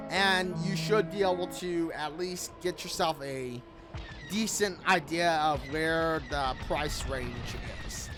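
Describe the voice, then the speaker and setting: High-voiced man rambling over music. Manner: rambling, repeats points. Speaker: gaming video creator. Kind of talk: casual spoken game review commentary. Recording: music behind the voice. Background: background music.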